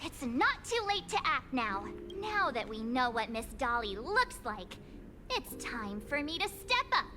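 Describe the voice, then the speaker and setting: A woman playing an excitable, boastful teenage schoolgirl heroine in an anime-style game. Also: high-pitched, measured pace, background noise. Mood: determined, boastful. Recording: game music behind.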